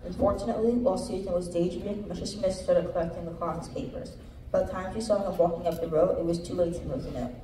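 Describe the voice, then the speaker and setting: A woman's voice: on the low side, fairly even intonation, quick pace, dark tone. A female student narrator reading scene narration aloud in a reader's theater performance. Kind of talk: scripted narration read aloud on stage. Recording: echoey room, distant mic, background noise.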